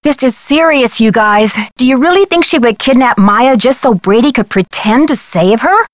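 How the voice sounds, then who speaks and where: A young female detective from a video game, acted by a woman, earnest and inquisitive. Her voice sits fairly high and is recorded very dry and close. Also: animated, normal speed, dry recording, close to an everyday voice. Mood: exasperated. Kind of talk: scripted video game dialogue.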